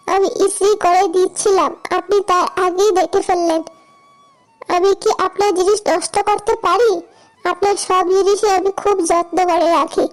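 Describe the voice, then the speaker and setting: A woman's voice: very high voice, rough recording. A woman voicing a dutiful young daughter-in-law in a cartoon, earnest and hurt as she pleads and explains herself. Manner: earnest, self-justifying, plaintive. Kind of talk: cartoon voice acting.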